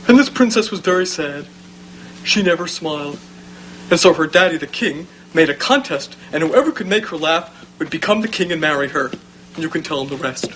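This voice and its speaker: A friendly walrus storyteller for a children's puppet show. He speaks to small listeners in a simple storybook way. A clear hum and noise lie behind him. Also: a little high, animated, brisk, fairly bright tone, rough recording. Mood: friendly, storytelling.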